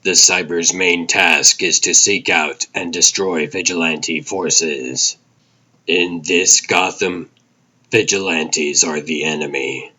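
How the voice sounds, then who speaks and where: A grim, world-weary vigilante detective, acted by a man, narrates a noir comic drama in a very low voice. His delivery is slow and terse, with a forceful, husky edge. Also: steady tone, full character voice, low-quality recording. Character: brooding, world-weary older male vigilante detective, presumed dead. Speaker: narrator and voice actor of a comic dub. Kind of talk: dramatic first-person noir scripted narration.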